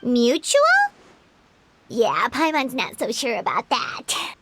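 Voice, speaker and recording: A woman's voice: on the high side, expressive, slow, background noise. A woman voicing a tiny, cheeky fairy companion in a video game, cartoonish, sassy and skeptical. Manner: sassy, skeptical, refers to self in third person.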